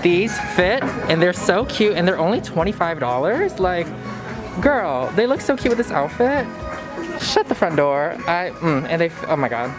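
A woman's voice: on the low side, big pitch swings, moderate pace, music in the background. A woman in her twenties vlogging in a bubbly, slangy, playful way, filmed on a handheld camera at arm's length. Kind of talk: casual vlog chat. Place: outdoors, in a car and in shops.

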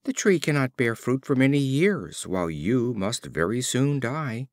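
A man's voice: medium pitch, sing-song, measured pace, no echo, clean studio sound. A man voicing a scornful young man mocking his elder in a storybook fable. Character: mocking young men scoffing at an old man.

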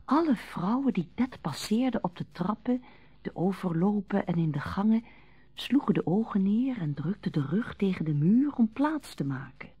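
A woman narrating a Dutch radio drama from a script in a soft, husky voice. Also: medium pitch, expressive, moderate pace, dull audio.

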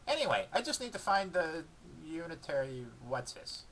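A man's voice: a little high, very animated, moderate pace, fairly bright tone, a little hiss or hum, pitched above the performer's own voice, poor audio. A man voicing an eager, cheeky, food-obsessed female pet dog in a dramatic book reading, casual and argumentative.

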